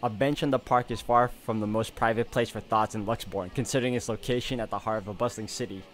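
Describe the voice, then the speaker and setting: A man's voice: lowish voice, steady tone, fast. A man hosting a let's play, mixing gaming commentary with dramatic read-aloud of visual novel narration. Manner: reads narration dramatically, reacts openly. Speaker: let's play video host.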